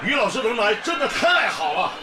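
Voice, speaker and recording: An actor playing a cheerful villager who eagerly chimes in at a feast. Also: male voice, very high-pitched, very animated, brisk. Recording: roomy, with loud crowd.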